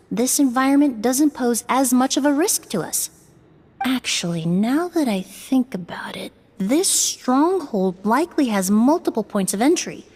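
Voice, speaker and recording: An actress playing a composed, analytical young woman investigator in a fantasy video game, speaking in a formal, measured, articulate way. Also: on the high side, darkish tone. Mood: calm, analytical. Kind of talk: scripted video game voice acting.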